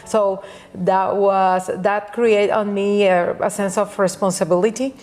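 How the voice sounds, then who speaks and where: Puerto Rican woman, halting and laughing. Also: medium pitch, flat delivery, a little mellow. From Puerto Rico. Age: middle-aged. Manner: halting, frequent restarts, laughs often. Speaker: guest, nail educator. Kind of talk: casual interview chat.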